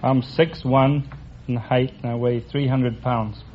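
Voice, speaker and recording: A man's voice: medium pitch, fairly even intonation, slow pace, noisy background. A man from San Francisco, a bartender on a quiz show, giving plain matter-of-fact answers. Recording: dull old radio recording.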